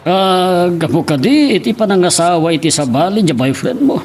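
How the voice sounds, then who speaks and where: Man acting an animated, high-voiced older family man. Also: normal speed, a little hiss or hum. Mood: teasing. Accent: Filipino. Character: older man, caring family elder and brother. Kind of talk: Ilocano radio-style drama acting.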